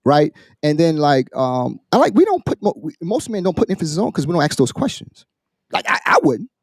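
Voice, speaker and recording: A man hosting a casual podcast chat, halting and repeating phrases as he builds up his questions in an animated way. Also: on the high side, no room sound, clean studio sound. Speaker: podcast host posing a question.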